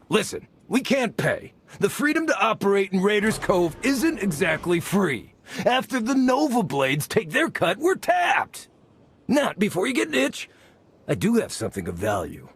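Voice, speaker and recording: An actor playing a vain, bumbling scoundrel gang leader in a video game, pompous and theatrical with dramatic pauses. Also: male voice, high voice, very animated, gravelly, a little hiss or hum, heavily acted. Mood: flustered, defensive. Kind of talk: video game character acting.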